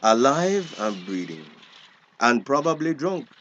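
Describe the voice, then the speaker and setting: Slow-talking, weary old Belizean grandfather. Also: medium pitch, very animated, fairly bright tone, dull audio. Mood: bitter. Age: older. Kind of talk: screen drama dialogue.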